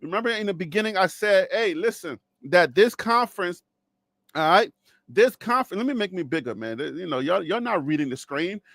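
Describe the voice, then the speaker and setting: Man, high voice, casual sports host, rambling jokes, dry close sound. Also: expressive, fairly quick, a bit bright, no room sound. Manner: rambling, joking, laughs often, talks to viewers.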